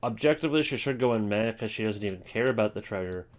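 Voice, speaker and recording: A man's voice: lowish voice, expressive, fast talker. A man hosting a casual ranking video with wry, offhand commentary. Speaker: video host.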